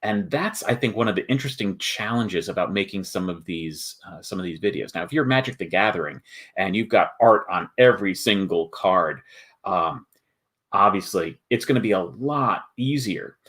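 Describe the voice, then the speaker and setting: A man with a fairly low voice hosts a casual online walkthrough. He explains step by step in a thoughtful, halting way, like someone talking through his own work. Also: expressive, a bit bright. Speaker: host, board game video maker.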